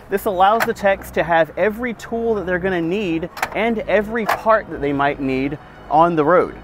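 A young man hosting a car show, presenting to camera in an upbeat, conversational way with light jokes, speaking outdoors and from a moving car. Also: sits high. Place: outdoors in parking lots and inside a moving car. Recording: voice with music bed underneath. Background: background music.

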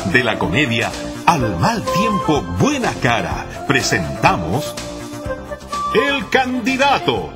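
A man's voice: medium pitch, huge pitch swings, slow. A man announcing radio dramas in a grand, scripted theatrical style. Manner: grand, declamatory. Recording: loud music under the voice. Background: loud music.

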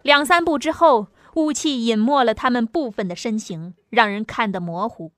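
A woman's voice: a little high, normal speed, a bit bright, dry recording, studio quality. A woman narrating a novel as an audiobook, reading a dramatic script. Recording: music under the voice.

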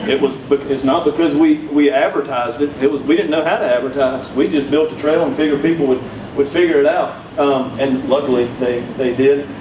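A man's voice: mid-range voice, fairly even intonation, fairly quick, hardly any pauses, noisy background. A man in his thirties from South Carolina with a Southern accent, giving a conference keynote with folksy, humorous storytelling on a handheld mic. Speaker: keynote speaker. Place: conference room, indoors. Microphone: handheld mic, recorded from far back. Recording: echoey room from a distance.

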